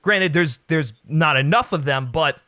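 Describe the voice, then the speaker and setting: A man's voice: a little high, expressive, measured pace, bright, forceful tone, dry recording, studio quality. A man as a podcast guest, giving casual film opinions with lots of hedging and "like". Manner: hedging, filler-heavy talk with frequent "like".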